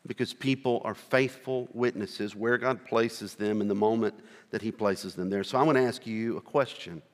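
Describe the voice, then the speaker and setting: A middle-aged man with a rural American accent preaching a sermon as a missionary, earnest and slow, with pauses between short phrases. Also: on the low side. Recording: long reverb of a large hall.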